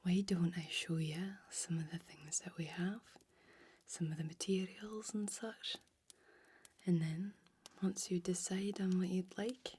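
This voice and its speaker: A woman playing a friendly, folksy fantasy blacksmith in a soft-spoken, mostly whispered ASMR roleplay, with gentle, quaint old-fashioned phrasing. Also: on the low side, fairly even intonation, measured pace, a little hiss or hum, lightly acted. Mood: gentle, helpful.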